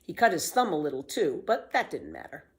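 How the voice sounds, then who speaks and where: Casting: female children's storyteller, slightly low voice, very lively intonation, careful expressive reading, some background noise. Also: fairly quick.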